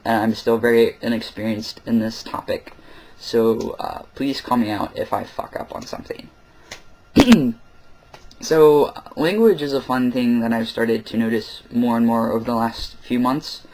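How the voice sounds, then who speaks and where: A young man records a casual vlog at home in the middle of the night. He talks candidly and with a wry edge, filling his pauses with ums and uhs. His voice sits at a middling pitch for a man. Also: darkish tone, poor audio. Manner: candid, rambling with many fillers, wry. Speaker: vlogger.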